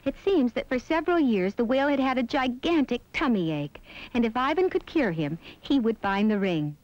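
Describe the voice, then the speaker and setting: A woman's voice: fairly high pitch, lively intonation, moderate pace, darkish tone, slight background noise. A woman storyteller reading scripted narration for a children's fairy tale. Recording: dull lo-fi soundtrack with music behind. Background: background music.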